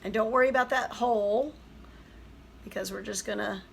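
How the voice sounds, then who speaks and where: Hoarse woman teacher, slightly gravelly, chatty step-by-step craft demo. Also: medium pitch, normal speed, slight background noise. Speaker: craft tutorial host. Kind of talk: craft tutorial voice-over.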